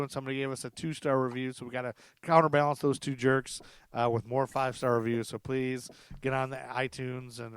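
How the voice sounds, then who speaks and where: A man's voice: mid-range voice, clear, resonant tone, dry recording. A man hosting a podcast in casual chat, upbeat and chatty, laughing easily and restarting his sentences. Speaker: podcast host.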